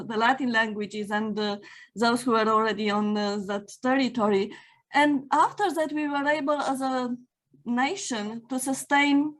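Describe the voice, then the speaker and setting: A woman from Romania, a native Romanian speaker, talking as a guest over a video call, steady with frequent fillers. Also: on the high side. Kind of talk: casual interview talk.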